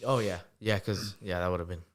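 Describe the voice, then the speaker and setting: A man in his twenties, a guest entrepreneur, telling stories in a relaxed, casual podcast interview with lots of fillers. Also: sits low, a little fast. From United States, Salvadoran family. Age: 20s. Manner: relaxed storytelling with many fillers.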